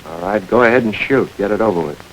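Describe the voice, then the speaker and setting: An actor playing a hard, commanding gang boss who gives terse orders, in the style of an old film noir radio drama. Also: male voice, lowish voice, fast talker, a little hiss or hum, close to an everyday voice, low-quality recording. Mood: defiant.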